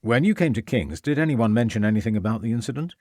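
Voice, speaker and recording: A man's voice: medium pitch, expressive, fast talker, darkish tone, dry recording, studio quality, natural voice. A man voicing an earnest, composed male police inspector who speaks formally.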